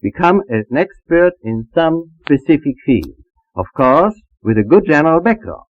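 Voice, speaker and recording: Expressive man on a muffled old disc. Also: a little high, normal speed. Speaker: foreign trade expert on a discussion panel. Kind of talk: panel interview answers.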